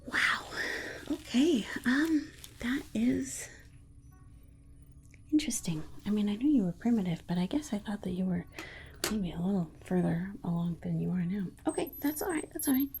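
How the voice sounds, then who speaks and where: A woman playing a patronizing yet soothing alien scientist in an ASMR roleplay, softly reassuring her human subject. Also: mid-range voice, expressive, moderate pace, slight background noise, close to an everyday voice. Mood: politely condescending. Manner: patronizing, soothing, hesitant murmured repetitions. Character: condescending, sweetly reassuring female alien scientist examining a human. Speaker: ASMR performer.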